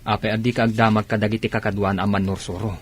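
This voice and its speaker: A man's voice: fairly low pitch, level tone, fast talker, slightly rough. An actor playing a frank, concerned male friend in a radio drama, with a casual coaxing manner. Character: concerned, frank male buddy giving advice.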